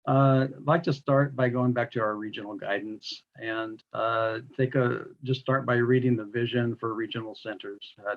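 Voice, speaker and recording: A man working as a long range planner, with a raspy voice, reading out planning policy at a public meeting. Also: medium pitch, lo-fi sound.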